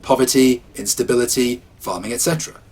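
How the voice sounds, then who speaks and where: A man telling first-person scary stories as scripted narration, hushed and ominous at times, livelier at others. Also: medium pitch, fairly quick, husky. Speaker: storyteller. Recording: faint rain ambience behind.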